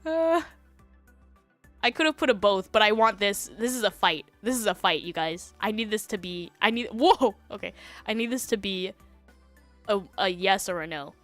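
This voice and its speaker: A woman hosting a livestream, chatting casually and reacting to online stories in a giggly, animated way. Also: mid-range voice, moderate pace, soft background music. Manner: giggly, animated, breaking into laughter. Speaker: livestream host.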